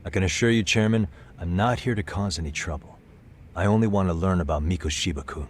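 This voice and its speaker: A low-pitched male detective voiced by an actor in a video game drama, measured and confident. He questions people with a dry, wry edge, over faint background noise. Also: natural voice. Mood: wry, composed. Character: adult male private detective, cool, probing, wry. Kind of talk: video game cutscene acting, English dub.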